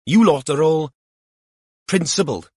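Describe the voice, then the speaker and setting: A man's voice: fairly high pitch, measured pace, darkish tone, husky, dry recording, clean studio sound, pitched above the performer's own voice. A man voicing an earnest, rambling young male police officer in an audiobook. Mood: protesting. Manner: rambling, literal-minded, protesting.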